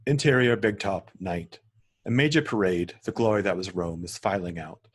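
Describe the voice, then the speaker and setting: A man reads out screenplay directions as the narrator of a table read. His voice is fairly low and rather husky. He goes through the scene descriptions in a measured, scripted manner.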